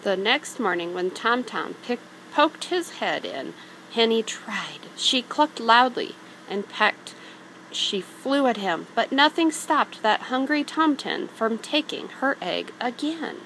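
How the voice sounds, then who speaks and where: A woman's voice: a little high, expressive, takes it slowly, fairly bright tone, a little hiss or hum, poor audio. A woman reading a children's story aloud in a narrating style, as a storybook reader.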